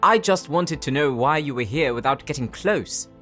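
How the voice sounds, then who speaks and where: A man's voice: a little high, lively intonation, normal speed, a bit bright, faint music behind. Man acting a cocky, sarcastic outlaw with swooping delivery. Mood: sly, taunting. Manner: sarcastic, taunting, theatrical. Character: defiant, cocky male captive hacker and outlaw. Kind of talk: dramatic audio roleplay acting.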